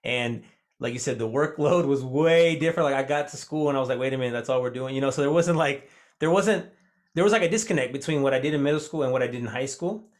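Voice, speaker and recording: A man hosting a relaxed podcast conversation, rattling along at a brisk clip. He grew up speaking Spanish first and now teaches it. His questions wander with plenty of fillers and restarts. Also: medium pitch. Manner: rambling, many fillers and restarts. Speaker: podcast host. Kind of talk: casual interview chat.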